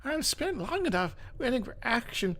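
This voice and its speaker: A man's voice: very high-pitched, very animated, moderate pace, fairly bright tone, voice pushed up, heavily acted. A man voicing a proud, impatient young sorceress in a video game, eager for battle and boastful. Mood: breathless pride.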